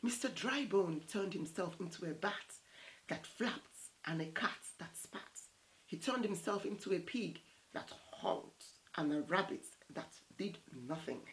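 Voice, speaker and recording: A woman in her thirties reading a picture book aloud as storytime host, in a theatrical, unhurried way. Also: fairly low pitch, lively intonation, a little hiss or hum, rough recording. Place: small indoor set with plain backdrop.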